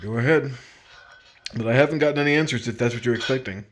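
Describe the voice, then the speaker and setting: A man's voice: medium pitch, quick pace, slight background noise, close to an everyday voice. A man acting in 1950s-style radio drama as a despondent husband who has left his marriage, speaking hesitantly and trailing off. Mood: weary, dejected.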